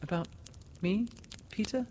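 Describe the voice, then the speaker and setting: A man voicing a gentle, earnest young girl in a storybook, with a hesitant, pleading manner. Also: sits high, wildly swooping pitch, moderate pace, slight background noise, pitched above the performer's own voice. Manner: hesitant, faltering. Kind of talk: storybook character voice.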